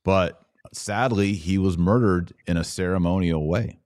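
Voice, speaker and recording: Deep voiced podcast host, dry mic, asks lots of questions. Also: male voice, unhurried, dry recording. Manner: questioning, thinks out loud. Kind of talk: casual podcast conversation.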